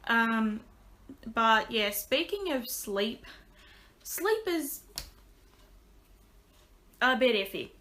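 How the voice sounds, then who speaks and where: A young woman chatting casually to her webcam from a small bedroom, her voice a little raspy, full of ums and restarts. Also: fairly high pitch, expressive, extremely slow, long pauses, bright, forceful tone, slight background noise. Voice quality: slightly raspy. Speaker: vlogger. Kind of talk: casual vlog update. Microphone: webcam at desk.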